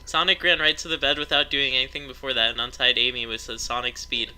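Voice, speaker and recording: A man reads a silly story aloud with a very bright, forceful voice at a middle pitch. He plays up the narration as mock drama. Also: flat delivery, fast talker, clean tone. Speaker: narrator of a story read-along. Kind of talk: amused reading aloud of amateur fan fiction.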